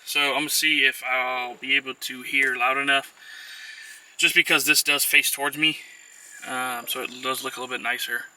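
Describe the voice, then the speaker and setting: Bright-voiced man giving casual how-to. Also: mid-range voice, level tone, normal speed. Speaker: vlogger, trucker giving how-to demonstration. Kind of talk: casual unscripted walkthrough. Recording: noisy on-location vlog audio.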